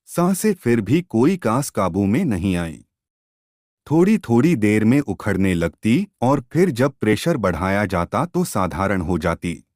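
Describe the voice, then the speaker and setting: A man tells a scripted eerie tale in a fairly low voice that rises and falls with plenty of expression. His delivery is very slow, measured and dramatic, as a storyteller's would be. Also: big pitch swings, a little mellow, no echo, clean studio sound. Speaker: storyteller, narrator. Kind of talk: scripted ghost-story narration.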